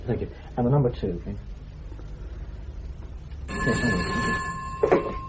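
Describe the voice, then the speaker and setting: An actor playing a polite, brisk junior policeman in a radio drama. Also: mid-range voice, lively intonation. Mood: dutiful. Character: deferential junior British policeman, man. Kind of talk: British radio drama acting. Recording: muffled radio drama, faint noise.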